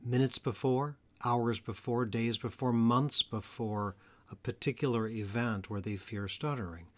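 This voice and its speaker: A man hosting an educational podcast about stuttering, speaking in an earnest, measured way and slowing down on key phrases. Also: medium pitch, steady tone. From Atlanta area, US. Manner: earnest, measured delivery with slow emphatic phrases. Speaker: podcast host, stuttering therapist. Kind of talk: solo educational podcast talk.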